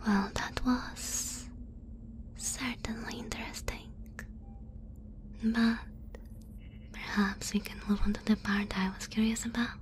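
A woman's voice: fairly high pitch, level tone, slow, long pauses. A woman playing a polite, clinical, curious female alien in an ASMR roleplay, whispering in a formal, careful way. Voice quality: breathy whisper.

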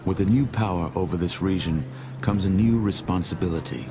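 A man playing a grave chronicler of a post-war wasteland, narrating a game epilogue in a solemn, measured, dramatic way. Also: deep voice, close to an everyday voice. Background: music.

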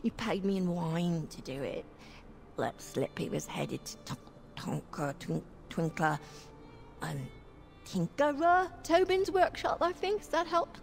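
A tipsy female elf wine-drinker from a fantasy game. Her voice is creaky, and she rambles along in a friendly, muddled, boozy way. Music plays softly underneath. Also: lowish voice, sing-song, unhurried, heavily acted. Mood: boozy rambling. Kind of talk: video game character dialogue. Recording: music behind the voice.

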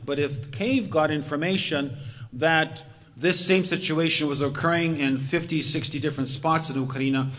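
An older man giving a measured, methodical talk from a podium in a community hall. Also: fairly high pitch, steady tone, fairly bright tone. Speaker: presenter. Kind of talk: lecture presentation. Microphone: podium microphone, far camera. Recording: long reverb of a hall.

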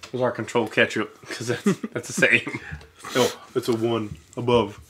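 A man's voice: mid-range voice, fairly even intonation, moderate pace, bright, forceful tone, slightly rough. A man in his thirties co-hosting a live stream, joking crudely and laughing while tasting hot sauces at a desk mic in a small studio room. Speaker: co-host. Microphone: studio mic on desk stand. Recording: noisy live stream audio.

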